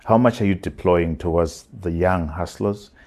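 A man hosting an interview, asking questions slowly, repeating words and murmuring along while his guest talks. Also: low voice, a little mellow. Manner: slow, repeats words, many listening murmurs. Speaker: interview host. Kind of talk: interview conversation.

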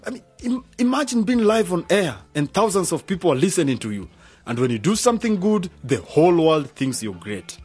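A husky young man, with very lively intonation. He is an eager, nervous, excitable radio station worker in an audio drama. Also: high-pitched, fairly quick, soft background music, full character voice.

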